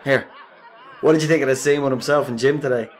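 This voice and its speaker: An actor with a Dublin accent playing a jovial, easygoing pub drinker in a radio play, chatty and matey. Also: male voice, mid-range voice, fairly even intonation, fast talker, lightly acted. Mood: matey banter. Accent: Irish, Dublin. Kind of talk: radio play acting in colloquial dialogue. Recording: pub crowd chatter behind.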